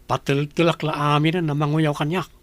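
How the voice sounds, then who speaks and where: A man's voice: a little high, flat delivery, moderate pace, no echo, close to an everyday voice. A man acting a despairing, bitter young grandson in an Ilocano radio comedy drama.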